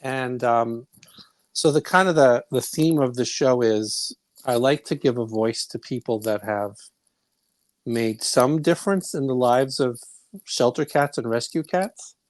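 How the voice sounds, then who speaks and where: A man's voice: medium pitch, unhurried, dry recording. A middle-aged man from Brooklyn, New York, hosting a podcast in a chatty, rambling way. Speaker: podcast host. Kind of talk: casual chat and interview.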